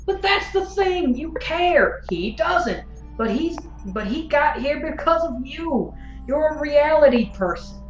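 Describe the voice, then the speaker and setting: A woman's voice: high-pitched, animated, a little slow, soft background music. Woman acting a flippant, needling cynic, muffled and roomy. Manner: flippant, teasing, prodding. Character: bored, needling, cynical hired war commentator. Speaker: actor in an audio drama. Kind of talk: audio drama acting.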